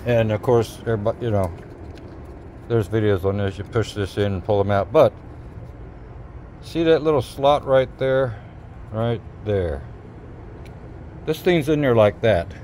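Folksy man over clear outdoor noise. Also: mid-range voice, dark tone. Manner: folksy, hesitant, unscripted. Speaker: DIY video maker. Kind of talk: casual hands-on how-to narration. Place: outdoors at an open truck cab.

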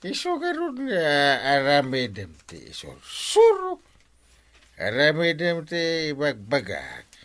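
A meddling Ilocano uncle in a comedy radio drama. He blusters and stammers, drawing words out slowly while his pitch leaps wildly, higher than most men. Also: wildly swooping pitch, fairly bright tone, heavily acted. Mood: blustering, coaxing. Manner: exaggerated, stammering. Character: meddling, blustering uncle giving bad courting advice.

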